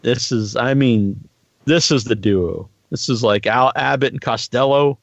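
A middle-aged man hosting a podcast in casual, joking chat, talking in a rambling, halting way with crude humour. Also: mid-range voice, creaky, no room sound. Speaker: podcast host. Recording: muffled lo-fi online stream audio.

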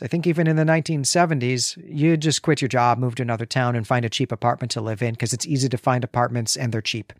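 Male co-host, forties, medium-pitched, measured and analytical conversational delivery, dry close recording. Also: no room sound. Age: about 40. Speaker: co-host of a book discussion podcast.

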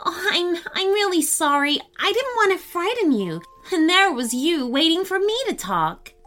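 A woman voicing an eager, polite, wide-eyed little girl in a children's storybook, earnest and excitable. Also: very high voice, moderate pace, faint music behind, pitched above the performer's own voice, exaggerated voice. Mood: shyly polite.

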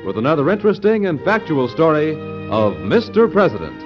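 A man's voice: on the high side, wildly swooping pitch, brisk, music in the background. A man with an American accent reading scripted announcements in a 1940s network radio style. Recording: dull old radio recording.

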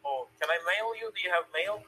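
A man with a Turkish accent, speaking English as a second language, answers politely in short phrases. His voice is fairly high and very bright. Faint noise comes through the video call. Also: animated, a little fast, poor audio. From Turkey. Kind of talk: casual video chat with a stranger.

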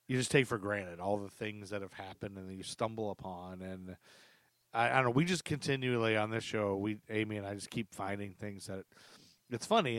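A man's voice: lowish voice, a bit bright, no echo. Casual male podcast host, dry sound. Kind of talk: casual conversational podcast chat.

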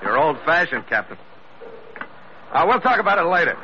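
An actor playing a blunt, tough ship's captain in a clipped, impatient, no-nonsense way, in hard-boiled 1940s radio style. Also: male voice, on the high side, big pitch swings, moderate pace. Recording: noisy, muffled old radio drama recording.

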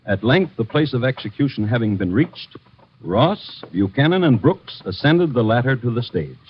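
An actor playing a grave, theatrical crime chronicler who narrates in a formal, florid 1950s radio drama style. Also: male voice, mid-range voice, very animated, normal speed, a little hiss or hum, natural voice. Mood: grim, dramatic. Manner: formal, portentous, florid storytelling. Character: grave, theatrical male crime chronicler reporting historic cases. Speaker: narrator and host. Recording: muffled old radio recording with music.

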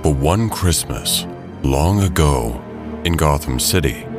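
Man, very low voice, dramatic deliberate narrator, scripted audio drama, prominent music underneath. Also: lively intonation. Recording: loud music bed behind the voice. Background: loud music.

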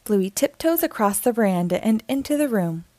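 A woman reading a children's story aloud in an expressive, deliberate narrating style. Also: medium pitch, no room sound. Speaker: storybook reader.

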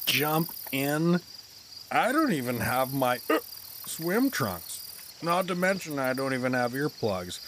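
A man's voice: medium pitch, sing-song, takes it slowly, fairly bright tone, slight background noise. A man playing an oafish, tipsy, oblivious middle-aged dad in a fantasy comedy audio drama, goofy and rambling. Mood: awed, rambling.

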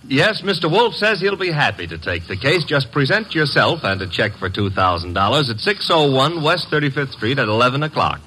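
A wisecracking young sidekick. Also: male voice, medium pitch, sing-song, a little fast, hardly any pauses, sharp tone, slight background noise, low-quality recording. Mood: wry. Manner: breezy, joking patter. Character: wisecracking young male detective's assistant. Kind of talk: 1940s radio acting.

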